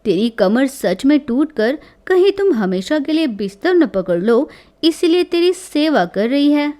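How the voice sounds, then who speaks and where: A woman voicing a sly young friend who poses as a doctor, measured and matter-of-fact, in a family comedy story. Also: high voice, sing-song, mellow. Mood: conspiratorial.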